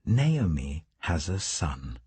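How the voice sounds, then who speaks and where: A man's voice: very deep voice, very animated, takes it slowly, warm tone, breathy edge, dry recording, heavily acted. A British man voicing joyful townswomen giving a blessing in a scripture reading, exultant and declamatory.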